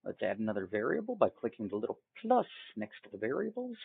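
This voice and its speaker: A man giving a casual step-by-step software tutorial, folksy and thinking aloud as he goes. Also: lowish voice, huge pitch swings, fast, vocal fry, breathy edge, no echo. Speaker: tutorial host. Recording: tinny, phone-like narrow band.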